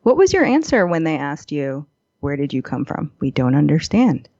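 A woman's voice: low-pitched, sing-song, normal speed, a little mellow, husky, no echo. A woman co-host adding chatty comments and follow-up questions. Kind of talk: conversational interview. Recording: dry, muffled lo-fi audio.

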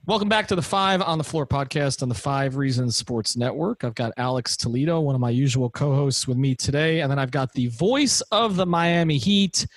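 Dry-sounding podcast host, chatty. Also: male voice, on the high side, no room sound. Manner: conversational, rambling questions with restarts. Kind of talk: casual podcast hosting.

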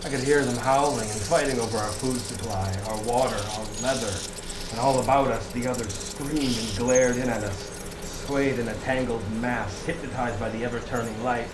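A man's voice: medium pitch, fairly even intonation, normal speed, close to an everyday voice. An actor playing a grim, haunted lighthouse keeper, telling his story with dramatic suspense in an audio horror drama. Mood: hushed dread. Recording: echoey, noisy radio play sound.

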